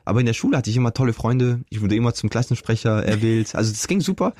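A man's voice: medium pitch, lively intonation, quick pace, a little mellow, no room sound. A young man, an interview guest, telling his life story earnestly and reflectively, with frequent fillers and restarts. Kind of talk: casual conversational storytelling.

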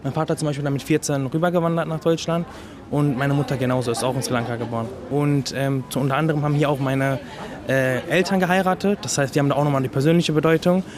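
A man, chatting quickly with fillers in an interview at a temple festival. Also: on the high side, fairly even intonation. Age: 20s. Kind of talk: casual interview answer. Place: outdoors at a crowded temple festival. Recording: crowd noise behind.

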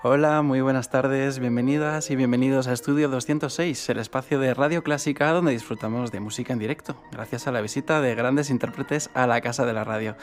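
A man's voice: medium pitch, fairly even intonation, brisk, few pauses. A man from hosting a classical music radio program, opening the show and introducing the guest in a formal announcer manner. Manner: formal, welcoming announcer delivery. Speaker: radio host. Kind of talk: scripted radio presenting.

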